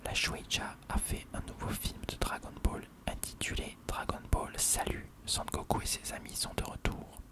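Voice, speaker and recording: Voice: normal speed, hardly any pauses. An ASMR narrator reads an encyclopedia-style article entirely in an unvoiced whisper.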